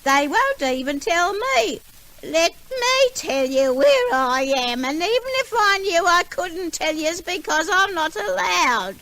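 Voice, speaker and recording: An Australian actress playing a touchy, proud, dim working-class household helper in an old-time radio comedy, with ungrammatical speech and fussy cooing. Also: female voice, very high-pitched, sing-song, slow, vocal fry, pushed and bright, exaggerated voice. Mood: proud, earnest. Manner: ungrammatical, indignant, cooing baby talk. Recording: noisy old radio recording.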